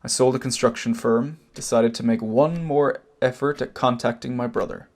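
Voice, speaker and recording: An amateur actor with an Irish accent playing a wronged older brother back from abroad, telling his story in a deliberate, pointed way. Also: mid-range voice, fairly even intonation, a little mellow, close to an everyday voice. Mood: reflective, hurt. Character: wronged older emigrant brother, cancer survivor, measured, bitter. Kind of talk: amateur stage drama acting, long monologue.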